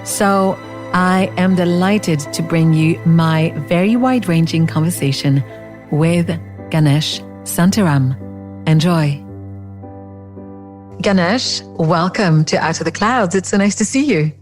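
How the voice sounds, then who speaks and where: A woman hosts a podcast interview, gracious and enthusiastic as she welcomes her guest. Her voice sits low for a woman. Faint music plays behind her. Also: slow pace, darkish tone. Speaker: podcast host. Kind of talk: warm conversational interview.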